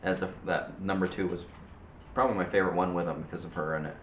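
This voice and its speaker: Guy with a lowish voice chatting casually, kinda halting, roomy sound with faint noise. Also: steady tone, muffled recording. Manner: halting, searching for words. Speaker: co-host of a movie discussion show. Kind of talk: casual chat.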